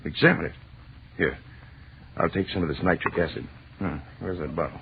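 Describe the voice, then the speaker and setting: An actor playing an impatient, excitable scientist in an old radio drama, speaking emphatically and dismissively. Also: male voice, low-pitched, a little fast, gravelly. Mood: absorbed. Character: brusque, dismissive, excitable male scientist professor and husband. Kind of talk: 1940s radio acting. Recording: tinny, hissy old radio recording.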